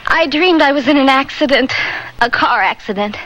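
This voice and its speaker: A woman's voice: sits high, lively intonation, normal speed, a bit bright, rough recording. An actress playing a young married woman haunted by nightmares, speaking anxiously and dramatically in a radio play. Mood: urgent, afraid. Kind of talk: 1970s-style radio drama acting. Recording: vintage radio drama with prominent music.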